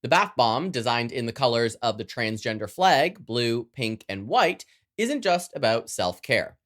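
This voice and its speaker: Lively, sassy Canadian podcast host. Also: mid-range voice, measured pace. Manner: sassy, playful. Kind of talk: scripted news round-up with chatty, campy asides.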